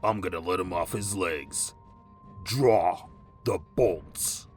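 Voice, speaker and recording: A man voicing a cool, resolute bearded gunman in an audiobook, calm, confident and deliberate. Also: sits low, faint music behind, pitched below the performer's own voice. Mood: grim resolve. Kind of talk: dramatised audiobook character voice.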